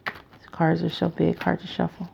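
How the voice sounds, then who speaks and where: A tired woman with a raspy voice, hosting a casual card reading in a halting, chatty, informal way. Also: on the low side, completely flat delivery, a little mellow, slight background noise. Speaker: tarot reader, channel host. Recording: dull, muffled lo-fi sound.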